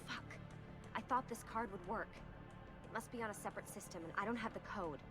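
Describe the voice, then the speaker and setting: A woman's voice: mid-range voice, moderate pace, lightly acted. An actress playing a tense young woman who leads an escape with terse, commanding orders. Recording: game soundtrack music behind.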